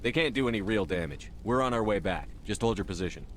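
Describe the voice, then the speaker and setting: Actor as a brisk, low-voiced military commander. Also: male voice, lively intonation, noisy background, natural voice. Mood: firm, commanding. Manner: direct, no-nonsense questioning. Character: terse, commanding male human space military commander. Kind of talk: scripted game dialogue.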